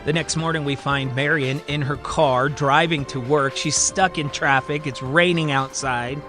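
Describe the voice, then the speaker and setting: A man's voice: a little high, steady tone, unhurried, music in the background. A man telling a scripted story as a podcast storyteller, with a theatrical, emphatic and suspenseful delivery. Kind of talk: dramatic scripted story narration.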